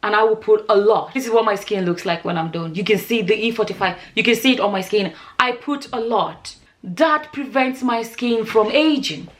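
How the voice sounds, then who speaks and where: A woman's voice: mid-range voice, normal speed. A woman, talking straight to camera in a casual vlog, earnest and repeating herself for emphasis. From Nigeria. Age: 40s. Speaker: vlogger.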